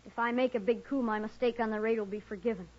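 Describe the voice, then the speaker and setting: A woman's voice: fairly high pitch, steady tone, fast talker, lightly acted. A woman playing a proud, wary, stoic captive boy in an old time western radio drama. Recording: muffled old radio recording.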